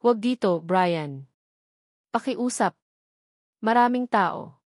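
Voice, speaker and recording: A woman's voice: mid-range voice, expressive, slow, no room sound. Romance story role: woman narrator as guilt-ridden young mother, pleading, trembling, fearful, dry sound.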